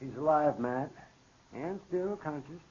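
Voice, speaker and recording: Concerned Western doctor, protective, medium pitch, dull noisy vintage radio sound. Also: male voice, expressive, unhurried. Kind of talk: 1950s radio western acting.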